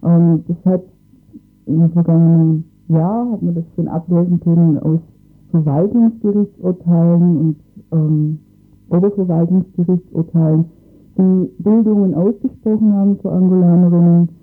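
A man's voice: high-pitched, fairly even intonation, moderate pace, phone-quality sound. A man explaining matters in a radio interview, hesitantly searching for his words. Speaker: interviewed expert on asylum cases.

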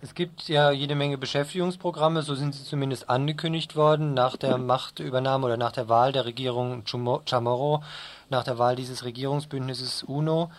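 A man with a medium-pitched voice interviews for radio. His questions are formal and carefully built, delivered in a measured way. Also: steady tone.

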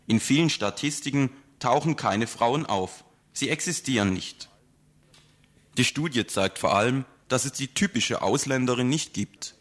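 A man reads a scripted radio report at a measured pace. He leans on key words with calm emphasis. His pitch sits in the middle range.

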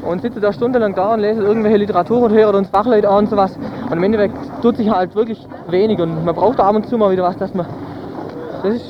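Quick high-voiced man. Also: steady tone. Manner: chatty, tag questions like "gell". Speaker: activist interviewee. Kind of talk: casual interview. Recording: dull outdoor recording with loud traffic.

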